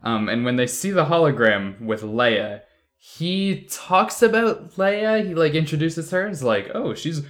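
Man, podcast host, mid-pitched voice, casual chat, jokey riffing. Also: a bit bright. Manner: jokey, riffing on absurd ideas. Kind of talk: casual chat, reviewing a radio drama.

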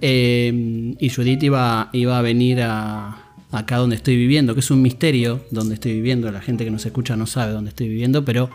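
A man's voice: medium pitch, little pitch movement, normal speed, a little mellow, faint music behind. A man with an Argentine accent hosting a podcast, talking in a friendly, rambling way with many fillers and restarts. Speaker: podcast host. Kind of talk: casual interview chat.

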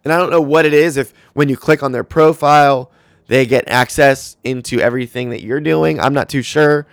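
A man's voice: mid-range voice, fairly even intonation, normal speed, gravelly, no echo, clean studio sound. A man in his twenties hosting a solo podcast, talking casually and rambling with lots of fillers. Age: 20s. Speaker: podcast host.

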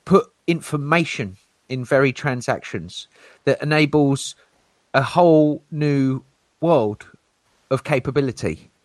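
A man's voice: animated, unhurried, no room sound. Jokey man hosting a crypto show. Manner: playful showman, jokey put-on low announcer voice, laughs a lot. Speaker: crypto channel host. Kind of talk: casual crypto talk show.

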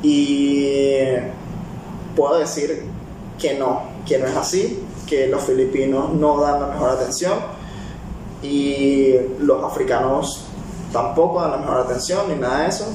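A man's voice: medium pitch, fairly even intonation, a little slow, distant mic, background noise. Venezuelan man in an echoey room. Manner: rambling, many fillers and restarts. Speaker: barista. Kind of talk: casual personal talk.

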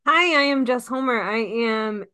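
A woman's voice: on the high side, measured pace, bright, forceful tone, smooth. A woman in her forties, a podcast co-host, talking in a chatty, rambling way and laughing often. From Massachusetts. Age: 40s. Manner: many fillers and restarts. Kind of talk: casual chat.

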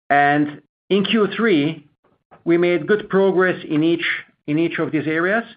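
Higher-voiced executive man over a phone line. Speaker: company executive. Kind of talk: prepared earnings call answer. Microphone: conference call line. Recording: narrow tinny phone-line conference call.

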